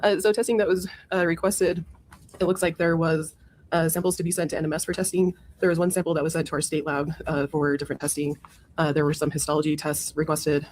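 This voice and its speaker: A woman testifying as a witness in a courtroom, hoarse from a cold, giving careful, matter-of-fact answers. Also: low voice, level tone, fast, darkish tone, slight background noise. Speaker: witness, former autopsy technician.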